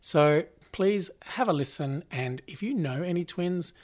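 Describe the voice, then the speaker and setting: A young man with a slightly husky voice hosts a casual podcast chat, laughing and restarting often. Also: fairly high pitch, animated, a little slow. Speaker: podcast host. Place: lounge room at home.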